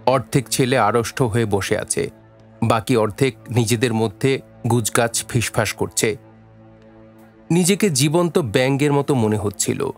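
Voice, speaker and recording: A man narrating a literary story for an audio drama in a measured, dramatic way. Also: mid-range voice. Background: faint music.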